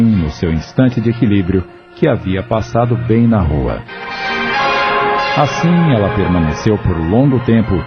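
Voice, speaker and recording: Low-voiced man narrating an audio drama. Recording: dull lo-fi audio with soft music.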